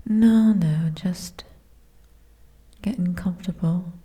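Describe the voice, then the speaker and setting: Giantess princess for fantasy ASMR, played by a woman: dark mellow tone, leisurely pace, formal and gently weary. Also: mid-range voice. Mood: drowsy, hushed. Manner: formal, genteel, old-fashioned diction.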